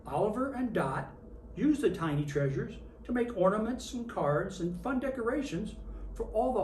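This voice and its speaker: A reader in character as Santa Claus, reading a children's picture book aloud with expressive storytelling. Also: medium pitch. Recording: roomy, distant mic with faint noise.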